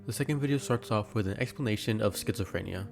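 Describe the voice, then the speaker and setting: A man's voice: on the low side, fast, vocal fry, loud music behind the voice. A man giving scripted narration, recapping a horror story as a video essay narrator.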